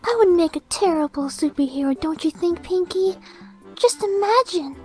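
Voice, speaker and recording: A sweet little cartoon pony girl for a fan audio story. The voice is extremely high and very animated, cute and girlish. Music plays underneath. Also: normal speed. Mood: shy, gentle. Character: cartoon ponies, girlish and excitable or bashful.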